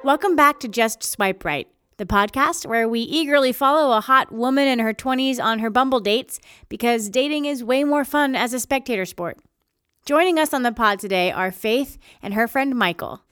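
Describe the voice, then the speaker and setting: A woman's voice: fairly high pitch, moderate pace, a bit bright, clean tone, faint music behind. A woman hosting a podcast, reading upbeat, teasing scripted intros. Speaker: podcast host.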